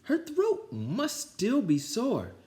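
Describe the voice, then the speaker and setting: A man voicing excitable, mischievous schoolchildren in a storybook, exclaiming in a childlike way. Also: very high-pitched, slow pace, pitched above the performer's own voice, heavily acted. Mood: excited.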